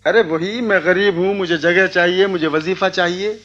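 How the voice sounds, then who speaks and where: A man's voice: sits high, fairly even intonation, normal speed, fairly bright tone, lo-fi sound. A middle-aged actor playing a stern, condescending well-off father in a radio play, speaking in a curt manner. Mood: dismissive, weary. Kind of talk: Hindi radio play acting.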